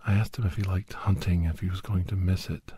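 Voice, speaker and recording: A man playing a weary, guilt-ridden older doctor and veteran hunter, whispering a slow, halting confession in a breathy, husky voice as an ASMR audio story. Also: sits low, steady tone, warm tone, slight background noise, natural voice. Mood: quiet, wistful.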